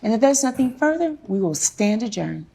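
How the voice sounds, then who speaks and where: A woman with a fairly high voice presides as a courtroom judge. She questions and lectures firmly, leaning on key words as she hands down her view. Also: very animated, normal speed, gravelly, very noisy. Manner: stern, lecturing, emphatic.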